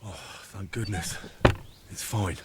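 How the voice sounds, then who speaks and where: An actor playing a sensible, helpful adventurer in a video game, speaking in a frank, questioning and wry manner. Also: male voice, deep voice, takes it slowly, very noisy. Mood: relieved, kindly. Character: sensible, helpful young male adventurer with dry wit. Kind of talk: video game dialogue acting.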